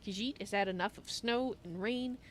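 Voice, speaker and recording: A woman voicing a gentle, fussy female cat-folk traveler in a game roleplay, musing aloud about herself in the third person. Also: medium pitch, moderate pace, lightly acted. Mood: weary, grumbling. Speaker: livestream roleplayer. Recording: steady background noise.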